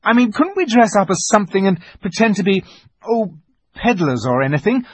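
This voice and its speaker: A man voicing an earnest, impulsive, frustrated schoolboy in a storybook, urgent and halting but well-mannered. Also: sits high, huge pitch swings, a bit bright, breathy edge, dry recording, pitched above the performer's own voice, heavily acted. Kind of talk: dramatized storybook reading.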